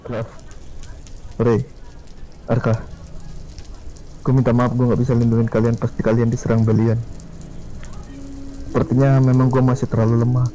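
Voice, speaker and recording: A man's voice: medium pitch, level tone, moderate pace, long pauses, natural voice, rough recording. An Indonesian man voicing an earnest, self-doubting young swordsman in an amateur game roleplay. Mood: apologetic.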